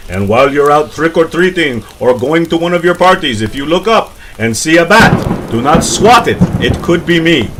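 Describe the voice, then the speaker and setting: A man's voice: on the high side, lively intonation, moderate pace, few pauses, a bit bright, slight background noise, low-quality recording. A man playing a genial, joking vampire being interviewed in a comic radio skit, with theatrical delivery. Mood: courteous, jokey.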